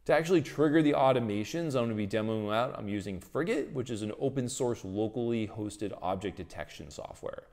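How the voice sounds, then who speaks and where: A man's voice: lowish voice, brisk, few pauses, darkish tone. A man, explaining technology as the host of a tutorial video. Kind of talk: explanatory tech tutorial, presenting to camera and in voice-over.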